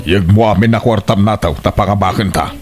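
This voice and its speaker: A man's voice: fairly low pitch, a little fast, loud music behind the voice, natural voice. A man playing a roguish, pushy uncle who coaxes others into trouble, in an Ilocano-accented radio drama. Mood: scheming. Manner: cajoling, pushy. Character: roguish, coaxing uncle, a bad influence.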